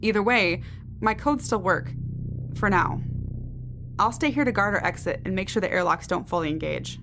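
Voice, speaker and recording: An actress playing a tough, sardonic mutineer in an audio drama, speaking in a clipped, businesslike way. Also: female voice, medium pitch, expressive, moderate pace, gravelly, music in the background. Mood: wary, wry. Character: tough, wry, brisk female mutineer guard.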